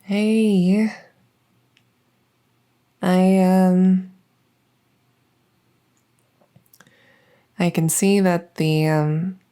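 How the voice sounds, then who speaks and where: Girl doing a shy vampire lady for asmr rp, lowish voice, super slow n halting, nervous, stumbles over words. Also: warm tone, smooth. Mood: awkward, tentative. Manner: hesitant, halting, stammering, anxious. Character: shy, socially awkward female vampire at the door. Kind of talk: ASMR audio roleplay.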